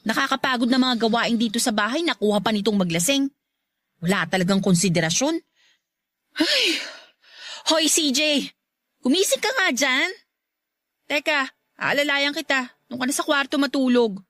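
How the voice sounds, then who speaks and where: A young wife in a radio drama, worn down and exasperated. Her high voice scolds and grumbles, yet stays protective underneath. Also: expressive, moderate pace, long pauses, fairly bright tone. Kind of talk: Tagalog radio drama acting.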